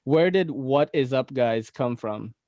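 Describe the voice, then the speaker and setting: A relaxed young man with a Filipino accent chats on his podcast, full of slang and easy laughter. His voice sits at a middle pitch and sounds close and dry. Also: takes it slowly, no room sound, muffled recording. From Philippines. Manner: laid-back, slangy, laughs often. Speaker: podcast host. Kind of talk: casual podcast chat.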